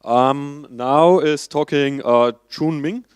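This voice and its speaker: A man hosting a conference session, introducing the next speaker in a halting way. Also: a little high, clear, resonant tone, no room sound. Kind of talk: hesitant introduction. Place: conference room, on stage.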